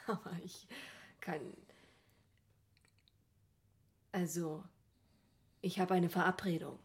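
An actress playing a brisk, coaxing and calculating woman, a returning former mistress in a radio play. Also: on the low side, steady tone. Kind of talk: German radio play acting.

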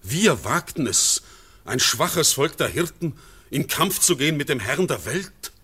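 An actor playing a steadfast Swiss farmer in a classic radio drama, speaking verse in a solemn, measured way. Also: male voice, on the high side, big pitch swings, bright, forceful tone, husky, heavily acted. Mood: alarmed. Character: steadfast Swiss farmer, grave and anxious husband.

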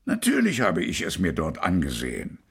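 An actor playing a stern father who also appears as a shopkeeper in a radio play, speaking slowly and coolly. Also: low voice, wildly swooping pitch, gravelly, fairly bright tone, husky, exaggerated voice. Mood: measured. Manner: slow, measured and cool.

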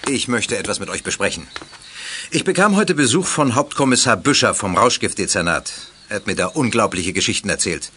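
A man's voice: fairly low pitch, animated, fairly quick, pushed and bright. A male actor playing a stern, concerned grown-up who admonishes children formally in an audio drama. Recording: faintly noisy audio play recording.

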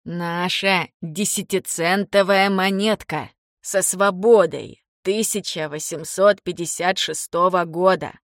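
A woman voicing an ailing older father in an audiobook, blunt, teasing and fond, speaking slowly and haltingly. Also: fairly low pitch, steady tone, no room sound, clean studio sound. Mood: exasperated, teasing. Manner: slow, deliberate, halting for words. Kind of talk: audiobook character dialogue.

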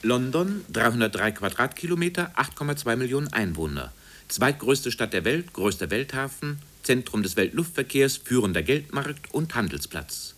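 A man's voice: medium pitch, very animated, normal speed, a bit bright, slight background noise. A man playing a formal announcer in a 1950s radio drama, reciting facts in clipped, enumerating phrases. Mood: matter-of-fact. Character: factual announcer reciting city statistics like an encyclopedia.

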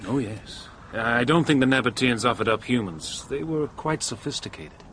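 An actor playing a calm, knowledgeable traveller in a radio drama, measured and explanatory. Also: male voice, mid-range voice, a little hiss or hum, natural voice. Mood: informative. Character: calm, knowledgeable male sightseeing companion.

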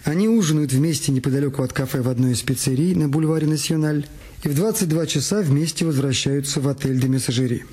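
A man's voice: on the high side, fast talker, dark tone, husky. A man reading scripted narration for a radio detective drama. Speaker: radio play narrator and actor.

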